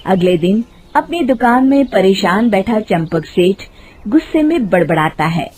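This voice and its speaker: A woman's voice: mid-range voice, animated, unhurried, a little mellow. A woman narrating a scripted moral tale in a dramatic storytelling style.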